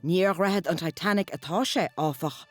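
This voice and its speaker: A woman's voice: fairly low pitch, brisk. An Irish woman reads scripted documentary narration as a voice-over. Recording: voice-over with music behind. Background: music.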